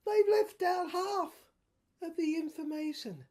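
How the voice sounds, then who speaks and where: A woman's voice: very high-pitched, measured pace, warm tone, voice pushed up. A woman playing a defiant rebel soldier who argues insistently and repetitively in an audio drama. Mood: insistent, agitated.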